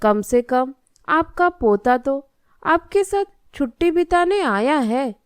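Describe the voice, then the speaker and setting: A gentle cartoon grandmother, voiced by a woman, practical and placating. Her voice is high and smooth, and she talks slowly. The recording is dry and close. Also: expressive, dry recording. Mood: soothing. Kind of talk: Hindi moral-story cartoon dialogue.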